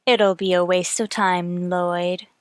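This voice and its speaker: An actress playing a cold, sardonic, embittered wife out for money in an old radio mystery drama. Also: female voice, on the low side, little pitch movement, slow, clean tone, no room sound, clean studio sound. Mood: calculating.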